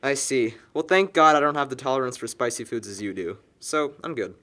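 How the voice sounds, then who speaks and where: Casting: male actor as wry, chatty young guy, slangy best-friend type, emphatic storyteller, mid-pitched, film drama. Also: brisk, pushed and bright, natural voice. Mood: reassuring. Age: young adult. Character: wry, talkative young man confiding in a friend. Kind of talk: naturalistic buddy dialogue.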